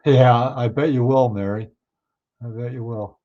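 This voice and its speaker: A man hosting a livestream, chatting casually with viewers and answering their comments, his voice a bit hoarse. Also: medium pitch, steady tone, measured pace. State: hoarse, clearing his throat. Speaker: livestream host. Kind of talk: casual chat with live viewers. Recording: somewhat dull, lo-fi stream audio.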